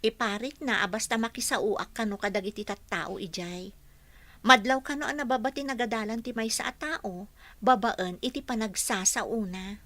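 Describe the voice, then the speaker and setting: A dutiful young housemaid acted by a woman in radio-drama style. Her voice sits fairly high and rings bright, with a polite, deferential and earnest delivery. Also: moderate pace, no room sound. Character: dutiful young housekeeper, devoted daughter, modest but plucky. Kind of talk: Ilocano radio drama acting.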